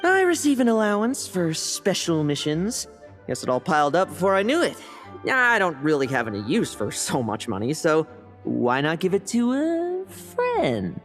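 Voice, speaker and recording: A woman's voice: fairly low pitch, wildly swooping pitch, normal speed, soft background music. A woman playing a sly young knight in a video game, coaxing and playfully evasive. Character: sly young knight scheming to inherit a title. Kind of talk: video game cutscene dialogue.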